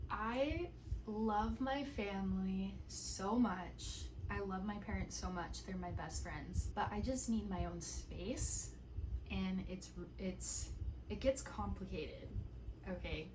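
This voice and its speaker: A woman in her late twenties speaks softly to the camera from home, working through her thoughts in a gentle, searching way. Her voice sits at a middle pitch, and she often restarts sentences. A steady hum of background noise runs under everything. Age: 20s. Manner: soft-spoken, thoughtful, with many restarts and "like". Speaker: vlogger. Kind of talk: casual reflective chat to camera.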